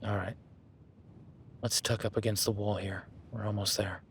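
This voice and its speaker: A man playing a calm cowboy rancher. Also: moderate pace, slight background noise, close to an everyday voice. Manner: low-key, calm and instructive, often hushed. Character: rugged male cowboy rancher, steady and protective. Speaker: ranch hand tracking a beast. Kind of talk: listener-addressed audio roleplay.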